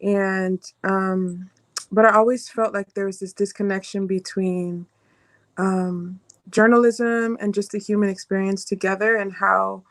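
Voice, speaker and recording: A woman's voice: medium pitch, steady tone, a little slow, no room sound. A woman speaking as a panelist about her own work, hesitantly searching for words. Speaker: panelist, journalist. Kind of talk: personal explanation in a panel introduction.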